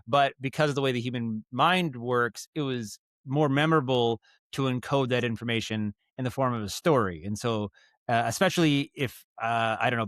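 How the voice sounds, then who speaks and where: A man with a medium-pitched voice speaks as a podcast guest, explaining his craft. He is casual and thoughtful, often circling back and rewording as he goes. The sound is dry, with little room in it. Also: a bit bright, dry recording. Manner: rambling, thoughtful, frequent self-corrections and hedging. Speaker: podcast guest, audio storyteller and director.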